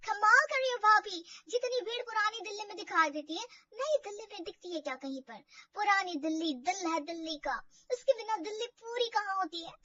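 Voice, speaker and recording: A woman voicing an excitable, gushing, eager young sister-in-law in a family drama story. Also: very high voice, a little fast, hardly any pauses, no echo, distant mic, muffled recording, voice pushed up. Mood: excited, gushing. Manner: gushing, exclamatory.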